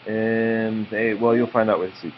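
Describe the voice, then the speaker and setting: A man reading a children's picture book aloud to his child in a slow, drawn-out storytelling way, with informal asides. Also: fairly low pitch, little pitch movement. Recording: muffled home recording with background noise.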